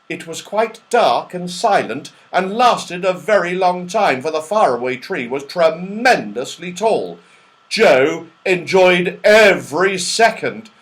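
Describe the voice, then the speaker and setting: A man reading a children's story aloud in an expressive, dramatic storytelling manner. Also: high voice. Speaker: audiobook reader.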